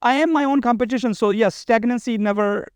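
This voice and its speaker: Guy, kinda high smooth voice, chatting casually as a guest, repeats himself, dry close sound. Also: a little fast, dry recording. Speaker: podcast guest, bridal expo organizer. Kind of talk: casual conversational interview.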